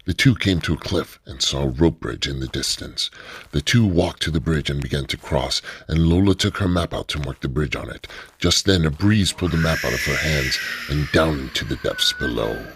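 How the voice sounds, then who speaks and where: A man narrating a radio drama in a steady, measured storytelling manner. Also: a bass voice, husky.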